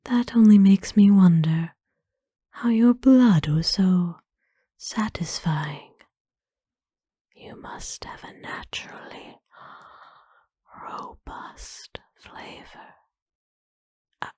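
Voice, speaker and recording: Woman playing a slow, whispering, gentle vampire mistress. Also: fairly low pitch, breathy edge, dry recording, clean studio sound, exaggerated voice. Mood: caring. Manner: gentle, formal, reassuring, soothing. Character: kind, doting female vampire owner of a human. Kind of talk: whispered ASMR roleplay.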